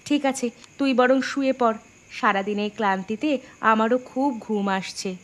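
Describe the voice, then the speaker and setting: Tired mother, slightly high voice, acted by a woman. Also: normal speed, close to an everyday voice. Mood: resigned, tired. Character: tired, resigned middle-aged mother soothing her grown son. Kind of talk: animated horror story dialogue.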